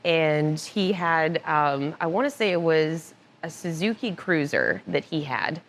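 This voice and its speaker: A woman with a somewhat low voice tells stories in a relaxed interview, laughing easily between lines. Faint room ambience sits behind her. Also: measured pace, slight background noise. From born in Italy, raised moving around. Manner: laughs often, animated anecdotes. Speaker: podcast guest, motorcycle finance director. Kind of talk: casual storytelling interview. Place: cigar club lounge.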